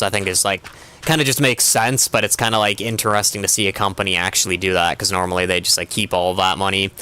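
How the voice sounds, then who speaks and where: A man's voice: fairly low pitch, bright, forceful tone, clean tone. A young man streaming a game, chatting casually in a rambling way with constant filler words. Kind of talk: casual chat while gaming.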